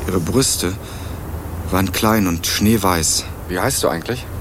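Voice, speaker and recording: Low-voiced laconic young man. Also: a little slow, noisy background. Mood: laconic, casual. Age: young adult. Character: young male student from Berlin, laconic and guarded. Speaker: narrator and lead actor. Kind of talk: first-person radio crime drama narration and dialogue.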